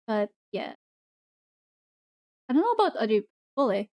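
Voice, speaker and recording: A young Filipino woman on a stream, talking casually in slow, careful English. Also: a little high, sing-song, darkish tone, no echo, clean studio sound. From Philippines. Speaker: streamer guest. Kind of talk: casual collab stream chat.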